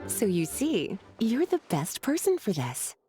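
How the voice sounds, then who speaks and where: Mellow, teasing scholarly woman. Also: mid-range voice, wildly swooping pitch, a little slow, faint music behind. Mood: reassuring, amused. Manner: coaxing, playful. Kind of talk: anime game dubbing.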